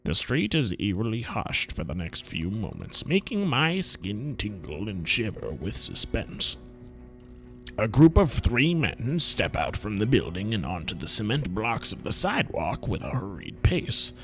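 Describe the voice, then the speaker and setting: A man's voice: fairly low pitch, sing-song, a little slow, fairly bright tone, soft background music. A man reading a visual novel aloud to friends, playful and slipping in whispered joke asides. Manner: playful, drops whispered running-gag asides.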